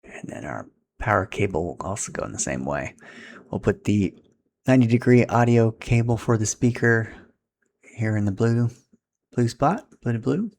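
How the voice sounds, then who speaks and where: A man's voice: fairly low pitch, animated, moderate pace, vocal fry, darkish tone. A man giving a casual, step-by-step setup tutorial in an informal, instructional way as he handles equipment.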